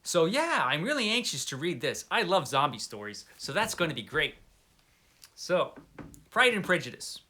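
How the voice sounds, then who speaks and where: A man with a slightly high, bright and forceful voice talks casually as a book vlogger. He is playful and tongue-in-cheek, leaning on his declarations with emphasis. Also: sing-song, moderate pace. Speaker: book vlogger, host of the channel. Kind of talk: casual chat to camera, answering book tag questions.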